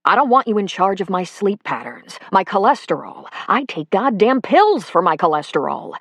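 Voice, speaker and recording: A woman's voice: medium pitch, big pitch swings, moderate pace, dry recording. Woman voicing a fed-up husband, blunt, exasperated, swearing, dry sound. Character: fed-up middle-aged husband walking out.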